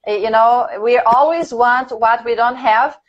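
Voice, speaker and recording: A Brazilian woman whose first language is Portuguese leads a relaxed English conversation lesson, chatting and laughing as she thinks aloud. Her voice sits on the high side. The sound is dull and lo-fi, like a stream over the internet. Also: measured pace. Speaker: English teacher. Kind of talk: casual conversation class on a livestream.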